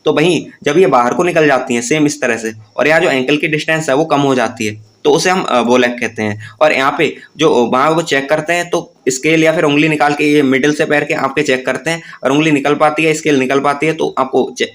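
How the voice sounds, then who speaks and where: A man with a voice pitched a little higher than most men. He presents an informal tutorial, explaining things plainly and step by step. English terms are mixed into his talk. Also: fairly bright tone. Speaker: presenter of exam-preparation tutorials. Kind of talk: informal explanatory lesson to viewers.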